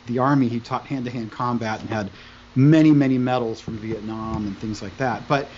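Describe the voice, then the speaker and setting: A middle-aged man whose first language is Italian, telling stories as a podcast guest over a video call from home, talking in an animated way. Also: medium pitch, moderate pace, highs cut off, a little hiss or hum. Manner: animated, gestures while talking. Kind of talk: conversational storytelling. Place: room at home, indoors. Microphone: video call, wearing headphones.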